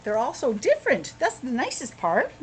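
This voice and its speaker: Woman, teacher-style storyteller, higher-pitched and very lively, explanatory asides, slight background noise. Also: normal speed. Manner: expressive, explanatory, encouraging. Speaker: schoolteacher reading a picture book aloud to children. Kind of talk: read-aloud storytelling with simple asides explaining the pictures.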